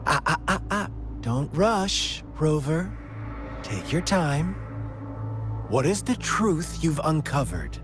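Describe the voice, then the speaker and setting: A slow, taunting villain. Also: male voice, big pitch swings. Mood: mocking. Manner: theatrical, slow and taunting, wild pitch swings. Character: mocking male villain telling a dark parable. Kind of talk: game cutscene voice acting. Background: game score music.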